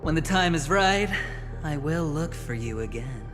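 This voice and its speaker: Smug, theatrical gambler, swooping delivery. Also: male voice, fairly high pitch, animated, big-room sound, music in the background. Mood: sly, persuasive. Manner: theatrical, suave, taunting, drawn-out phrasing. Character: smug, scheming male gambler, teasing and menacing dealmaker. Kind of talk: video game voice acting.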